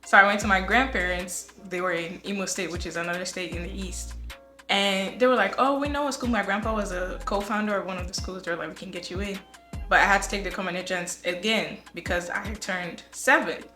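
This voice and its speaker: A young Nigerian woman with a Nigerian accent, a guest telling stories in a playful, expressive way. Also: medium pitch, a little fast, roomy sound, soft background music. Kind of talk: casual chat.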